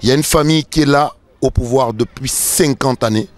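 A man's voice: mid-range voice, a little fast, no echo. A middle-aged man from Gabon, emphatic and stammering on repeated words, speaking into a handheld mic on a city street at night. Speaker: protester interviewee. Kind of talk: street interview statement. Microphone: handheld reporter mic.

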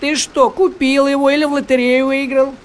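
A man voicing a sardonic, grumbling, practical tomcat in an animated cartoon, with sarcastic wit. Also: very high voice, a little fast. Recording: music under the voice.

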